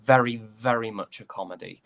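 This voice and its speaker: A man from Yorkshire with a softened British accent, chatting reflectively and hesitantly as an interview guest. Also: fairly low pitch, brisk, vocal fry. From Yorkshire, England. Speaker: interview guest, stage and voice actor. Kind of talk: casual interview chat.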